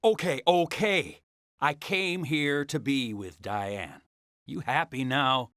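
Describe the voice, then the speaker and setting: A man's voice: mid-range voice, slow, a bit bright, no room sound, clean studio sound. A man playing an evasive, defensive suspect in a video game, hedging and stammering. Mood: defensive, grudging. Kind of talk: video game cutscene acting.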